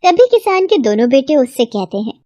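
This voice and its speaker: A woman's voice: sits high, big pitch swings, a little fast, warm tone, dry recording. A woman narrator reading a scripted moral tale for children in a storytelling style.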